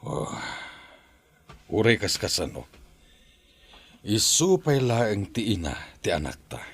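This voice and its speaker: A man with an Ilocano accent playing a resigned, protective husband and father in an audio drama, in a husky voice. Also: on the low side, big pitch swings, takes it slowly, heavily acted.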